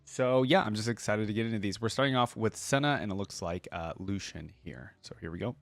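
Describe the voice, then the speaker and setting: A man's voice: on the low side, brisk, dry recording. A man giving casual, enthusiastic reaction commentary as a video host, often musing aloud.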